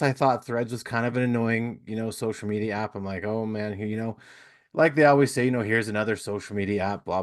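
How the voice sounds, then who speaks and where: A man hosting a podcast interview, chatting casually and rambling with lots of "you know" fillers. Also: lowish voice. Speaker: podcast host.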